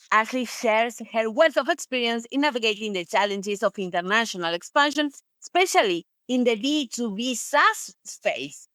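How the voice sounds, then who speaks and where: A woman's voice: on the high side, fairly bright tone, dry recording, clean studio sound. A woman presenting a scripted podcast introduction in an upbeat, read-aloud manner.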